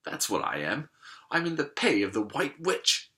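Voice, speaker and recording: A man's voice: mid-range voice, very animated, moderate pace, bright, forceful tone, voice pushed up. A man voicing a tearful, guilt-ridden male faun who talks mournfully between sobs. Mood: confessing. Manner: sobbing, mournful.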